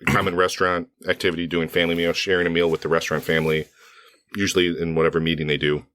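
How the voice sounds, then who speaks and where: Slightly hoarse, very deep-voiced man. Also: level tone, very fast, a bit bright, no room sound. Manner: rambling, with frequent fillers and self-corrections. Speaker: podcast co-host. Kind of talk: casual podcast chat.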